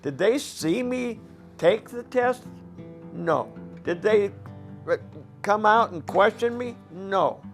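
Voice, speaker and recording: An older man with a raspy voice, a former coal miner speaking plainly and indignantly in a documentary interview. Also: high voice, lively intonation, takes it slowly, long pauses, soft background music. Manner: plain-spoken, indignant, rhetorical questions.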